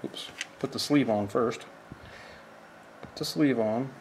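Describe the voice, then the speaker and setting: Unhurried male instructor talking through a table-top demo, plain and practical. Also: medium pitch, a little mellow, slight background noise, rough recording. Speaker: fishing tackle instructor. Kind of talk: hands-on how-to demonstration. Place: indoors at a work table.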